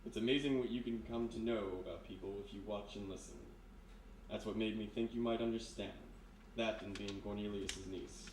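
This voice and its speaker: Sinister male hypnotist played by a man for radio mystery: lowish, calmly menacing, polite, deliberate; roomy, distant stage recording. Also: natural voice. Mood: soft menace. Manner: measured, insinuating, polite, deliberate. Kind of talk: live 1940s-style radio mystery acting. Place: live performance in a historic house room. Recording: roomy distant live recording, background noise.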